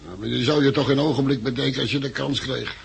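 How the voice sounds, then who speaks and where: An actor playing a surly, suspicious tough man in a 1960s radio drama, gruff, sarcastic and gravelly. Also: medium pitch, fairly even intonation, fast. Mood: threatening. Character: surly, suspicious rough blind thug.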